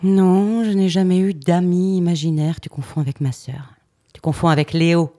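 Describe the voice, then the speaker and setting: A low, dark-toned woman an actress as a guarded mother in an audio drama. She is terse and defensive, an adult daughter with an irritated edge. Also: fairly even intonation, normal speed, natural voice.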